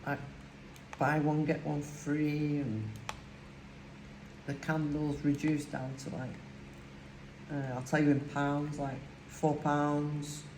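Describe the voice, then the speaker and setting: A woman's voice: low-pitched, level tone, slow pace, long pauses, a little mellow. An older woman from Lancashire with a broad Lancashire accent, chatting casually at home while she crafts, rambling with many fillers. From Lancashire, England. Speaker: crafting vlogger. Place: home, indoors. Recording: echoey room with faint hiss.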